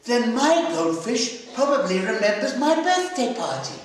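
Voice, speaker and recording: A man's voice: very high-pitched, sing-song, unhurried, pitched above the performer's own voice, full character voice. A man voicing an eager, chatty, insistent little girl in a live story reading. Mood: proud. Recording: echoey hall, distant microphone.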